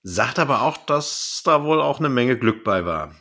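A man's voice: medium pitch, lively intonation, measured pace, pushed and bright. A man co-hosting a podcast, casually recapping and reviewing in a loose, conversational way. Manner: conversational, loosely retelling from notes. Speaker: podcast co-host.

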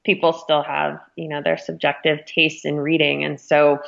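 A woman with a notably low voice talks as an interview guest. She explains her editorial work in a thoughtful, conversational way. The sound is a little dull and muffled. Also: level tone, moderate pace, vocal fry, a bit bright.

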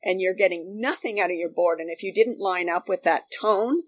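A middle-aged woman, a guest reminiscing casually, explaining things in animated, repeating loops. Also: fairly low pitch, fairly quick. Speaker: guest, former radio studio technician.

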